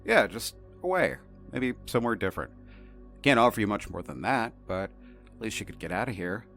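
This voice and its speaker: A man's voice: on the low side, very animated, fairly quick, fairly bright tone, soft background music. A man playing a cocky, easygoing supernatural entity who talks in a casual, flippant, slangy way in an audio drama. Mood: relaxed, probing.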